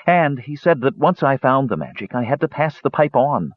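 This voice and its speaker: A man's voice: mid-range voice, sing-song, normal speed, no echo, pitched above the performer's own voice. A man voicing an earnest, mischievous young male kender who talks eagerly and pleads to be believed. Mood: wistful. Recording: dull dry recording.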